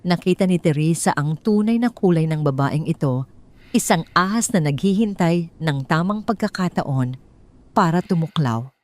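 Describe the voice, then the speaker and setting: A woman's voice: lowish voice, lively intonation, normal speed, a little mellow. A woman storyteller reading a scripted dramatic narration.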